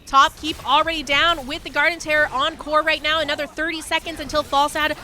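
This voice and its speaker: A woman esports caster giving live play-by-play game commentary with an excitable, urgent delivery. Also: high-pitched, fairly quick, a little hiss or hum.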